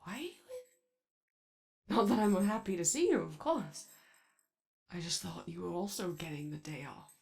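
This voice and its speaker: A woman voicing an anxious, friendly animatronic daycare robot in a halting, soft ASMR roleplay. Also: mid-range voice, expressive, vocal fry. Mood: hesitant, friendly. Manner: halting, hesitant, rambling, eager to please.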